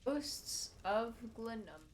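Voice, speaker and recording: Young woman, congested, stuffy nose, gameplay chat, slow, slightly high voice, faint background noise. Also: lively intonation. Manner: chatty, laughs and swears freely. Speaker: streamer playing a game.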